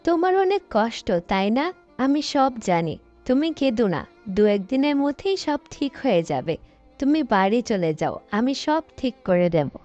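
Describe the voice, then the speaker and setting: A woman's voice: fairly high pitch, big pitch swings, normal speed, a little mellow, faint music behind. A woman voicing a soothing, mysterious magical helper in a cartoon fairy tale, on a dull lo-fi track. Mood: consoling.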